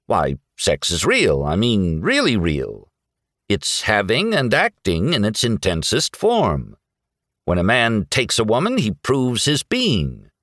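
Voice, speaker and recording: Man as naive anxious young-hearted fellow, earnest, declamatory, pitched up, very varied intonation, storybook. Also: wildly swooping pitch, slow pace, fairly bright tone, no room sound, clean studio sound, exaggerated voice. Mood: insistent. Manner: earnest, declaring proudly then doubting. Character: naive, anxious, earnest male searching for identity.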